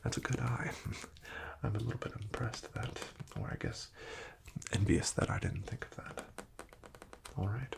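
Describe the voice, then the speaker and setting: Male, soft breathy whisper, heavy vocal fry, friendly ASMR roleplay, unhurried salesman, faint background noise. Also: lowish voice, steady tone. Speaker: ASMR roleplayer as real estate agent.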